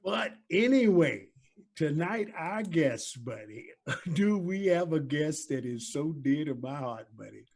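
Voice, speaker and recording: Male co-host, somewhat high-pitched, folksy and effusive, casual banter. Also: big pitch swings, unhurried, darkish tone, breathy edge. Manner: effusive, folksy, calls people buddy and man. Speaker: talk show co-host.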